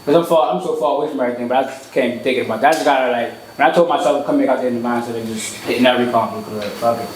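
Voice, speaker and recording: A man with a Baltimore accent, talking quickly and casually in slang as a comedian being interviewed. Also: mid-range voice. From Baltimore, United States. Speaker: podcast guest, comedian. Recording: echoey room, background noise.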